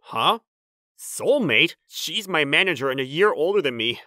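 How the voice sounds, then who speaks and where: A woman plays a nervous young office man in a manga dub. The voice is forceful and dry, swinging widely as he gets flustered and explains himself in earnest, self-deprecating narration. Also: low-pitched, sing-song, measured pace, no echo, heavily acted. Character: flustered, timid twenty-nine-year-old male office worker, narrating his life.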